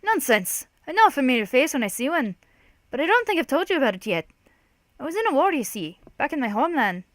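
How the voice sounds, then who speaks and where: A woman's voice: medium pitch, normal speed, few pauses, dry recording, lightly acted. A woman voicing a rambling old drunken war veteran telling stories in an emphatic, meandering way. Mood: insistent, reminiscing. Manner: odd cadence, emphatic, meandering storytelling.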